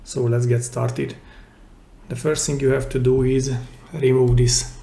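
Guy doing a step by step repair how-to, gravelly low-key tone, echoey room. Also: flat delivery, a little slow, background noise. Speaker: DIY repair channel host. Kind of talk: hands-on step-by-step tutorial. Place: indoors at a repair workbench. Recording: roomy, echoey indoor sound.

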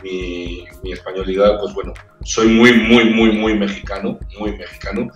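A man from Spain with a Castilian accent talks casually as an interview guest, often repeating words while he gathers his thoughts. His voice sits fairly low. He sounds roomy and distant, as if on a video call set up away from the mic. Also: fairly even intonation, normal speed, dull audio. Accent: Spanish from Spain. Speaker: opera-trained ranchera singer, guest. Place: indoor room with brick wall. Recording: echoey room, far from the mic, faint music.

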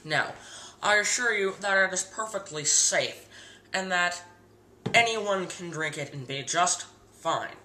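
A teenage boy hosting a mock cooking show in his home kitchen, giving earnest step-by-step instructions for an absurd recipe in a deadpan tone. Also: sits high, a little slow, slight background noise. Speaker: host of a home cooking parody. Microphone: camera mic across the kitchen. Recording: echoey kitchen, camera a bit far.

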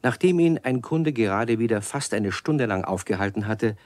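An actor narrating a children's radio play as a storyteller in scripted storybook style. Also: male voice, fairly low pitch, expressive, moderate pace, darkish tone, dry recording, lightly acted.

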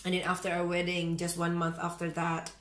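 A woman's voice: fairly low pitch, completely flat delivery, fairly quick, a bit bright. A woman in her thirties from the Philippines with a Filipino accent, chatting casually to the camera in a room at home and filling her talk with "you know". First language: Visaya. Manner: chatty, many "you know" fillers and restarts. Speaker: vlogger. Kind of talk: casual vlog chat, reading comments. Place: bright room at home, indoors.

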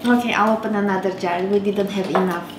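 A woman's voice: medium pitch, steady tone, fast talker, background noise. A woman in her thirties with an Armenian accent hosts a home cooking show, chatting and teasing her child as she demonstrates a recipe. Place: large home kitchen. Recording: roomy kitchen with dish and cutlery clatter. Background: clinking dishes and utensils.

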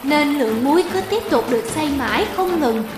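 A woman's voice: high voice, expressive, a little fast, roomy sound, over music. A woman reading scripted narration for a folk tale.

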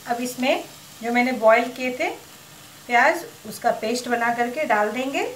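Calm woman cooking host in roomy kitchen. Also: fairly high pitch, moderate pace, slight background noise. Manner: calm, instructive, mixes English cooking words into Hindi. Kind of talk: step-by-step recipe narration.

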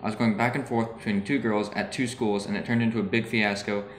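A man's voice: on the low side, level tone, fairly quick, lightly acted. Guy playing a shifty teenage boyfriend in an audio play, echoey and noisy recording. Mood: uneasy, defensive. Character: evasive, conflicted two-timing teenage boyfriend. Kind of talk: amateur audio drama acting. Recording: roomy, noisy home recording.

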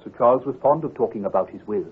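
A man's voice: mid-range voice, animated, quick pace, husky. A man playing an obliging, talkative country doctor in an old-time radio drama, speaking politely and formally. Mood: helpful, musing. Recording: tinny, muffled vintage radio recording.

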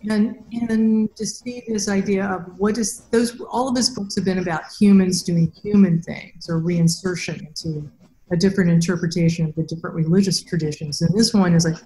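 A woman in her fifties hosting a podcast interview over a video call, asking measured questions in a soft voice. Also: lowish voice, fairly even intonation, slight background noise. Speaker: podcast host. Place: plain room, indoors. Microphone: webcam mic on video call. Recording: roomy video call audio.

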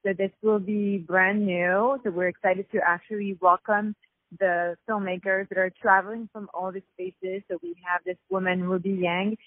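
A woman from Paris with a French accent, a festival founder explaining things in a measured way over the phone. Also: mid-range voice, dry recording. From Paris, France. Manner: measured, explanatory, many fillers. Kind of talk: phone interview. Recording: tinny phone line, dry.

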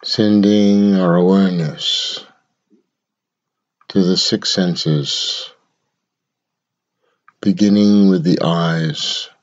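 Man, meditation guide, very low pitch, short measured phrases, long pauses, dull lo-fi sound. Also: steady tone.

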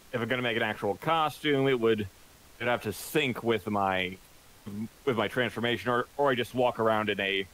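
A man playing a tabletop role-playing game, explaining his character's powers with many halting restarts. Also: lowish voice, fairly bright tone, a little hiss or hum, rough recording. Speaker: player.

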